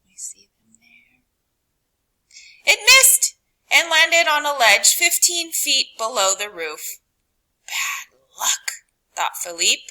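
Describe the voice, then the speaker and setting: A woman reading a picture book aloud to children, with dramatic, deliberate phrasing that drops to a hush and rises for emphasis. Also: high-pitched, sing-song, poor audio. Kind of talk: expressive read-aloud narration for children.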